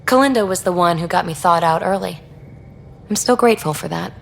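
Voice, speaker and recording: A woman's voice: on the low side, a little fast, vocal fry, slight background noise. A woman playing a wry, flirty crew member of a space expedition in a video game, with a breezy, conversational delivery. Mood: reflective, guarded. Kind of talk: video game dialogue acting.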